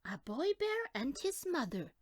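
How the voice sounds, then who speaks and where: Storybook small boy, played by a female reader: eager, full of wonder, high and lively. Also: measured pace, no room sound, studio quality. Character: eager little boy enthralled by magic glasses.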